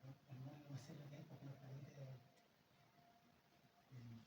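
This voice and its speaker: A man's voice: mid-range voice, flat delivery, moderate pace, echoey room. Male academic, hesitant Q&A, distant noisy room mic. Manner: hesitant, frequent restarts and tag questions. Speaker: lecturer answering questions. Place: seminar room.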